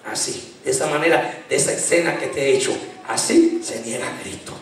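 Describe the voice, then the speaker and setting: A middle-aged man preaching a fervent sermon into a handheld mic in a small church, shouting at the peaks and dropping low in between. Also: steady tone, brisk, gravelly, far from the mic, slight background noise. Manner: impassioned preaching, shouting at climaxes. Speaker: pastor. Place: small church sanctuary, indoors. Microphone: handheld mic, filmed from far. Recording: very echoey church from afar.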